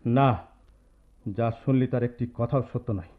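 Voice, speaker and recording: A man's voice: medium pitch, moderate pace, close to an everyday voice. A man playing a brooding, proud and wounded young son in an earnest radio drama. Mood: sorrowful. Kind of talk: Bengali radio drama acting. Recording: thin phone-like audio over music.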